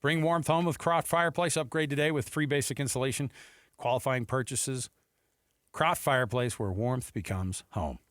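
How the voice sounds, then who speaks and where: A man's voice: mid-range voice, moderate pace, fairly bright tone, dry recording. An American man hosting sports radio, talking casually and conversationally on a close studio mic, with rhetorical questions and asides. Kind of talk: casual sports talk commentary. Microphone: close studio headset mic.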